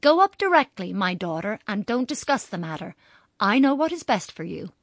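A woman's voice: medium pitch, very animated, moderate pace, dry recording, clean studio sound. A woman voicing a bossy young girl who plays a strict mother, prim and commanding. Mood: stern.

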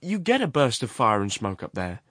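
A man's voice: medium pitch, wildly swooping pitch, moderate pace, a bit bright, no room sound, clean studio sound. A man voicing an uneasy, reluctant man in a storybook, speaking with strained, nervous politeness. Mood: wary. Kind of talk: dramatized storybook narration.

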